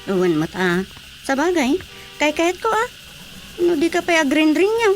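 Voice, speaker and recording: A woman's voice: high voice, sing-song, normal speed, faint music behind. An actress playing a chatty, familiar woman vendor in a radio drama, drawing out her words. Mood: agreeable.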